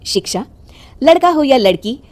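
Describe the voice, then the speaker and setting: Lively high-voiced woman storyteller. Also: normal speed. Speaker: story narrator. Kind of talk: scripted moral story narration.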